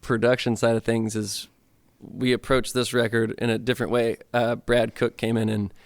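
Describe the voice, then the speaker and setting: A man's voice: medium pitch, flat delivery, normal speed, smooth, dry recording. A man in his thirties, a musician guest in a radio studio, talking casually with many fillers and loose restarts. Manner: loose, filler-heavy talk with lots of "like". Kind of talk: casual interview chat.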